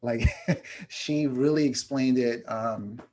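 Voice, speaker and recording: A man from New York, raised speaking Spanish, talks as a guest on an interview show. His voice sits at an ordinary middle pitch. He speaks casually and reflectively, pausing often to gather his thoughts. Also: dark tone, a little hiss or hum. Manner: reflective, many "um" pauses. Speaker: guest, education consultant.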